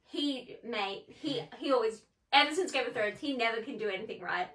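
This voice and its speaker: A woman's voice: on the high side, lively intonation, fairly quick, a bit bright. A woman co-hosting a casual film review show, chatting informally with exasperated emphasis. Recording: echoey room, distant from the mic.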